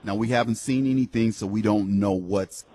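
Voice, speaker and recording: A man's voice: on the low side, normal speed, mellow, slightly rough, faint music behind. A man hosting a live radio talk show, encouraging callers in a preachy, faith-filled way. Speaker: radio host.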